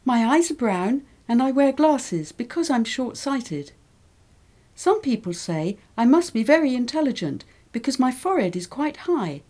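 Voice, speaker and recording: A woman reads scripted lesson passages aloud in a mellow, warm tone that sits a little high. Her delivery is clear and careful, and her pitch rises and falls with lively movement as she works through each sentence. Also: unhurried. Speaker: reader of English learning texts. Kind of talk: scripted reading of model texts.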